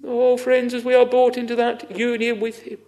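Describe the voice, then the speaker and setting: High-voiced, creaky man preaching fervently. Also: completely flat delivery, normal speed, a little mellow. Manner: exclamatory, repeats phrases for emphasis, frequent sighing interjections. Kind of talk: fervent extempore evangelical sermon.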